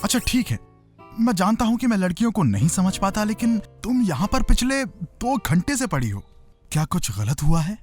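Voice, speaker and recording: A woman voicing an easygoing, chatty schoolboy best friend in an animated cartoon dub, casual and frank. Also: medium pitch, moderate pace, lightly acted. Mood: puzzled, mildly annoyed. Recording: music behind the voice.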